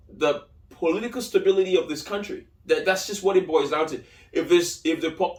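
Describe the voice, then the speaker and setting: A man's voice: high-pitched, fairly bright tone. A man in his thirties with a Nigerian accent hosting a solo opinion podcast, emphatic and repeating key phrases. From Lagos, Nigeria. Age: 30s. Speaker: podcast host. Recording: echoey room, distant from mic.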